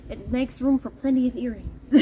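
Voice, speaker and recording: Casting: woman vlogger, fairly high voice, chatty and playful, some background noise. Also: unhurried, mellow. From Netherlands. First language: Dutch. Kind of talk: casual vlog chat to viewers. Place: outdoors in the heat.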